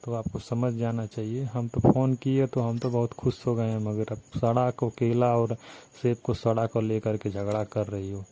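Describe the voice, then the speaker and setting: A man's voice: mid-range voice, flat delivery, normal speed, hardly any pauses, dark tone, natural voice. A man playing a flirtatious, pleading fruit-cart seller with an eastern Uttar Pradesh accent, coaxing and rambling colloquially as in a phone romance sketch. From Barabanki, Uttar Pradesh, India. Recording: noisy phone-call recording, constant hiss.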